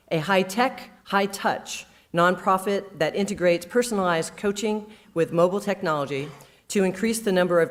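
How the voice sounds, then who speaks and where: A woman hosting an event, reading a formal scripted introduction of a speaker in a measured way. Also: fairly low pitch.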